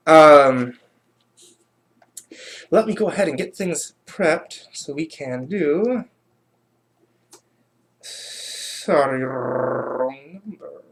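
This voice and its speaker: A man hosting a livestream in casual chat, with a halting delivery that often doubles back. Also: fairly high pitch, expressive, fairly bright tone. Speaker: livestream host.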